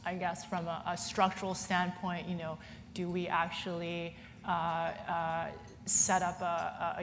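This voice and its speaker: A woman from Hong Kong speaking as a panelist at a conference, chatty and full of fillers and restarts. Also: fairly low pitch. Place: conference hall. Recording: reverberant hall with faint noise.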